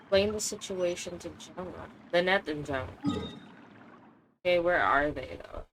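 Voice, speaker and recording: A woman's voice: fairly low pitch, moderate pace, fairly bright tone, low-quality recording. A woman streamer giving casual gameplay commentary, musing to herself. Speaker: game streamer. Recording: faint background noise and game ambience.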